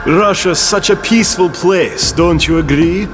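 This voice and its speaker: An actor playing a smug, grandiose villainous colonel in a video game, theatrical and gloating. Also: male voice, sits high, measured pace. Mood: taunting, gloating. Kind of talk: video game cutscene acting. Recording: music under the voice.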